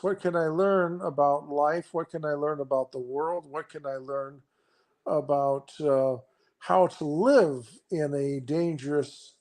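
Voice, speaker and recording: Earnest man explaining, fairly high voice. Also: darkish tone. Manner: earnest, halting with many restarts. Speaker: guest. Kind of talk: explaining scripture in conversation.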